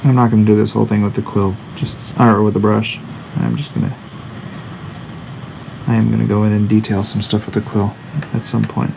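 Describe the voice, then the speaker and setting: Man, 40s, creaky mid-range voice, offhand livestream chatter with slangy asides, faint room hum behind. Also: on the low side, fairly even intonation, normal speed, pauses often. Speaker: comic artist hosting a live drawing stream. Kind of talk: casual livestream chat, reading and answering viewer comments. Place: indoors at a drawing desk.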